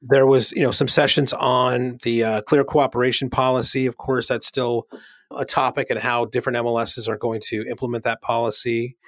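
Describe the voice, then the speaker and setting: A man's voice: medium pitch, little pitch movement, moderate pace, a bit bright, no room sound. A man co-hosting a podcast, chatting informally and often checking in with the listener as he explains. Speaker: podcast co-host. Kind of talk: casual conversational podcast chat.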